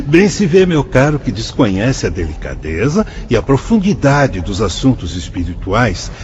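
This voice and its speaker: A solemn, wise spirit guide. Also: male voice, mid-range voice, huge pitch swings, unhurried, a little mellow, lo-fi sound, a little hiss or hum. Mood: explaining. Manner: solemn, dramatic, sweeping phrasing. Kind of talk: radio drama acting.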